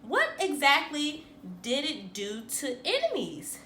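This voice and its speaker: A woman reading a children's picture book aloud as a teacher, animated and with dramatic emphasis for young listeners. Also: high-pitched, slow. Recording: roomy echo, faint background noise.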